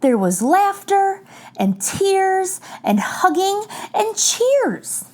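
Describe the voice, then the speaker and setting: A woman hosting a read-aloud for young children, telling a picture book in an expressive storytelling style with deliberate phrasing. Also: extremely high pitch. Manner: addresses young listeners directly, clear deliberate phrasing.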